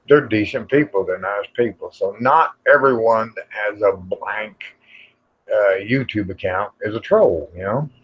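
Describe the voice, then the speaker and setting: A folksy, plain-spoken American man chatting casually and rambling to viewers on a livestream. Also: lowish voice. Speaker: livestream host. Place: outdoors in the evening. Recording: dull lo-fi livestream audio.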